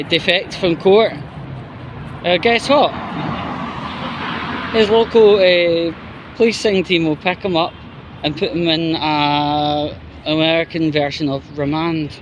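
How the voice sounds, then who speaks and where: Higher-pitched man ranting over background noise. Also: measured pace. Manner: sweary, exasperated, opinionated. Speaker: YouTuber. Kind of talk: casual commentary vlog.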